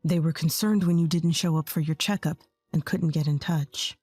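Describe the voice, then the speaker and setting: Soft-spoken sorry husband, voiced by a woman narrator, lowered pitch, earnest. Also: steady tone, normal speed, no echo, faint music behind. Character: remorseful young husband, gentle man.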